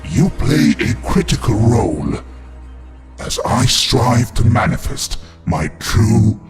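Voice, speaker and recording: A man playing a gloating, demented evil master of dark creatures as a theatrical, sinister horror podcast host. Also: mid-range voice, sing-song, slow pace. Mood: sinister, commanding. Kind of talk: in-character horror host monologue. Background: music.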